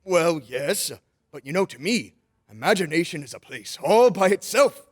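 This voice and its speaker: A man's voice: fairly high pitch, lively intonation, normal speed. A man playing a jolly, kindly old Santa Claus in old-time radio drama style, with playful, grandfatherly, coaxing phrasing. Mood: playful, explaining.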